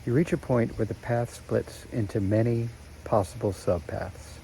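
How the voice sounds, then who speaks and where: A man narrating a guided meditation in a slow, soothing way with long pauses. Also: mid-range voice, gravelly, mellow, a little hiss or hum, poor audio.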